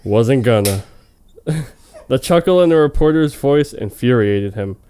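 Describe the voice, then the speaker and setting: A man's voice: mid-range voice, lively intonation, measured pace, warm tone, a little hiss or hum. A man reading fan fiction on a comedy show in a hammy, mock-dramatic way, with casual, amused asides. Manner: hammy, amused, breaking off to comment.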